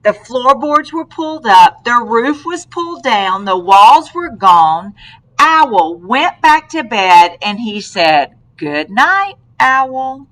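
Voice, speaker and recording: A woman reads a children's picture book in a bright, highly animated voice. She takes it very slowly and expressively, drawing a young listener in with questions. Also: rough recording. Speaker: read-aloud storyteller to a young child.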